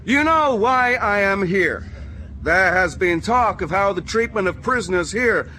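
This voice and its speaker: A man's voice: sits high, lively intonation, a little slow, sharp tone, slight background noise, full character voice. A man playing an earnest American envoy in a radio drama, giving a formal public address. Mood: formal, projecting.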